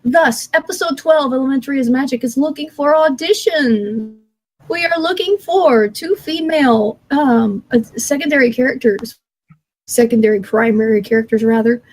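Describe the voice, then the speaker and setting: High-pitched woman podcast co-host. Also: normal speed, low-quality recording. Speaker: podcast co-host making announcements. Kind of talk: casual panel talk with announcements.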